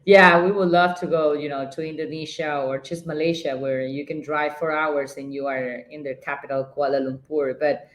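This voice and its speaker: A middle-aged woman from Ecuador whose first language is Spanish, answering interview questions in a hesitant, unhurried way. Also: low-pitched, steady tone, echoey room, a little hiss or hum. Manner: hesitant, fillers and restarts, plain phrasing. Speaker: guest, edtech leader. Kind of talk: conversational interview.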